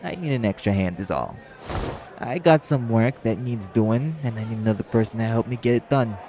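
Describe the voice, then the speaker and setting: Man voicing no-nonsense club tailor, gravelly low voice, brisk, direct, informal, audio drama. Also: expressive, crowd noise. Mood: brisk, businesslike. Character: brisk, businesslike male tailor at a nightclub. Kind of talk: audio roleplay acting addressed to the listener.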